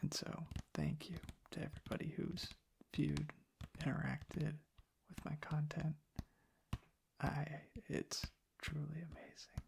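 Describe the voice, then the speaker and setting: A young man giving a soft-spoken ASMR ramble close to the microphone, hushed and halting, with pauses mid-sentence. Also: a little high, flat delivery, vocal fry. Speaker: ASMR content creator. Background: soft tapping and clicking.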